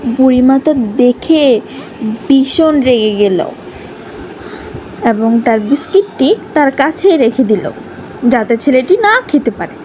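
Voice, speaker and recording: Woman storyteller, high pitch, mellow tone, scripted children's narration, faint background noise. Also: lively intonation, slow pace, long pauses, low-quality recording.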